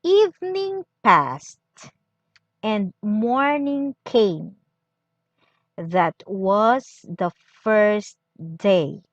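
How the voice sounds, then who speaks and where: Woman doing a solemn bible reading, super slow, short phrases, kinda muffled lo-fi mic, hardly any room echo. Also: mid-range voice, sing-song. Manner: deliberate, broken into short phrases with pauses. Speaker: scripture reader.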